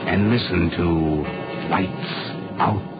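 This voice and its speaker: An actor playing an ominous, menacing horror-show narrator in a 1940s radio introduction. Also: male voice, sits low, drawn-out words, soft background music. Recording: muffled vintage 1940s radio recording.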